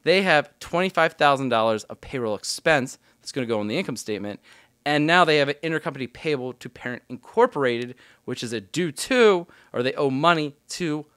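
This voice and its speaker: A man's voice: a little high, lively intonation, a little fast, fairly bright tone, clean tone, no echo, studio quality. A man giving an explanatory tutorial as an instructor, talking informally through worked examples step by step. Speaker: accounting instructor.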